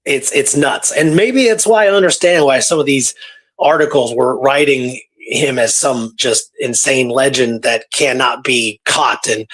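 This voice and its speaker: A man hosting a talk show, retelling a true story in a casual, animated way with repeated phrases and pauses for drama. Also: mid-range voice. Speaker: podcast host telling a true historical story.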